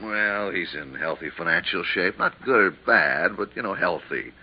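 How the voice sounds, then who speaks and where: An American actor playing an easygoing, cautious investigator's assistant in a 1950s radio drama, talking in a casual, chummy way. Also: male voice, deep voice, expressive, normal speed, husky. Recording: muffled 1950s radio recording.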